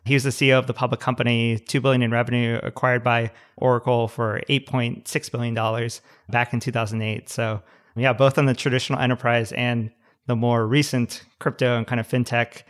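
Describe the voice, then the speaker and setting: Man, podcast guest, relaxed, matter-of-fact, mid pitch. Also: a little fast. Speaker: guest investor. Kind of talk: casual podcast interview.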